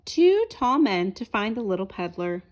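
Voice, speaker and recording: A woman reading a children's story aloud in an expressive narrating style, as if to young listeners. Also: medium pitch, moderate pace. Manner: asks listeners questions as she reads. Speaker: storybook reader.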